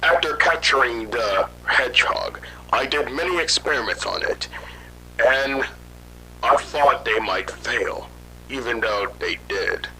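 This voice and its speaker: A man playing a guilt-ridden scientist in hiding, telling his story haltingly in an amateur horror audio drama. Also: medium pitch, bright, forceful tone, a little hiss or hum, poor audio. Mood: brooding, regretful. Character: guilt-ridden fugitive scientist father recounting his failed experiment.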